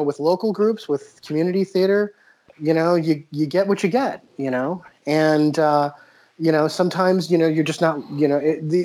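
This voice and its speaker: A man's voice: on the high side, a little mellow. A man from the United States, a podcast host and playwright, telling stories animatedly with many "you know" fillers. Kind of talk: casual interview talk.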